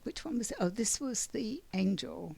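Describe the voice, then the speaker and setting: A raspy-voiced woman giving a spiritual monthly oracle card reading, meandering and often clearing her throat. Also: medium pitch, big pitch swings, moderate pace, a little mellow.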